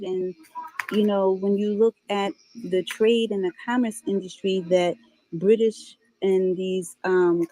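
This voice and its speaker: A woman with a mellow, mid-pitched voice. She makes her points in a steady, measured way during a live online debate. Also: low-quality recording. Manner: measured, reasoning aloud. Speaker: debate participant.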